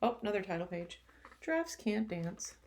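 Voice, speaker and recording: A woman, a teacher, reading a picture book to children expressively, with gentle sympathetic asides. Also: mid-range voice, very animated, normal speed, slight background noise. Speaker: teacher reading a storybook to pupils.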